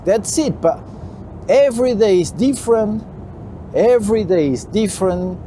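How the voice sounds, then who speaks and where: A man from Portugal with a Portuguese accent, a truck driver talking casually to his viewers, halting and repeating himself, with "you know" tucked into most lines. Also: high voice, warm tone, a little hiss or hum. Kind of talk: casual talk to camera while driving. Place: truck cab on the road.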